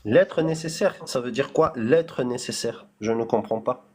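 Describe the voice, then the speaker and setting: A man's voice: mid-range voice, unhurried, a little mellow, breathy edge. A man speaking French as a non-native in a heated debate, hesitant and full of fillers, repeating words while insisting on finishing his point. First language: not French.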